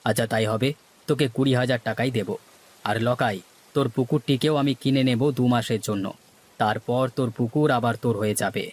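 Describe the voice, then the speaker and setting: A man's voice: medium pitch, steady tone, normal speed, slight background noise, close to an everyday voice. A man voicing a shrewd, scheming village businessman in a cartoon, bossy and self-satisfied. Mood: commanding. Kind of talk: Bengali cartoon voice acting.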